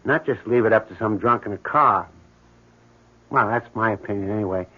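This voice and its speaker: A man's voice: lowish voice, moderate pace, lightly acted. Actor as morbid, casual handyman, tinny sound. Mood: brooding, morbid. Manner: casual, matter-of-fact, brooding. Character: odd, morbid building handyman obsessed with ghosts. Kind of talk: 1970s radio drama acting. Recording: thin, tinny old radio broadcast.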